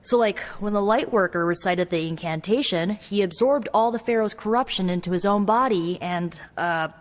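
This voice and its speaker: A woman's voice: medium pitch, moderate pace, lightly acted. A woman playing an eager, stubborn young sorceress in a video game, talking in a casual, colloquial way. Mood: storytelling. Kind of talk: video game cutscene acting. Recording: long reverb, music behind. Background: music.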